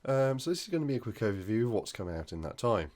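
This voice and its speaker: A man with a croaky voice from flu, hosting a hobby news roundup in a casual, hesitant way with many ums. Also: on the low side, very animated, quick pace, very noisy. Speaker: hobby channel host.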